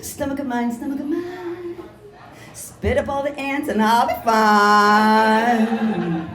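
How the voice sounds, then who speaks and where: A woman voicing a frantic male turkey who sings and gobbles in a squeaky sing-song. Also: wildly swooping pitch, fast, roomy sound.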